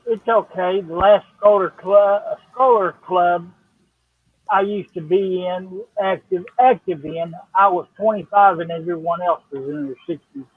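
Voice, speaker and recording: High-pitched man on muffled, lo-fi audio. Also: steady tone, a little slow, husky, dry recording. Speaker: live stream participant reading comments. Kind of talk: casual chat.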